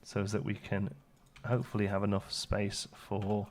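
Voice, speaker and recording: A man's voice: on the low side, monotone, normal speed, a little mellow. A man hosting a let's play, chatting casually and thinking aloud as the game goes along. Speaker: gaming video host.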